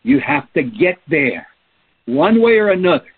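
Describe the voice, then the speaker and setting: Husky preacher on a tinny line. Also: male voice, fairly high pitch, very animated, unhurried. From Peru. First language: Spanish. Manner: earnest, emphatic, asks rhetorical questions. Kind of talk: sermon. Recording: tinny phone-like sound.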